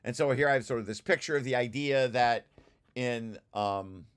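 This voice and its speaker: A man's voice: mid-range voice, smooth. A man giving an informal technical walkthrough, explaining as he reads and often stopping to correct himself. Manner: halting, self-correcting, explanatory. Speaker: presenter reading through own technical paper.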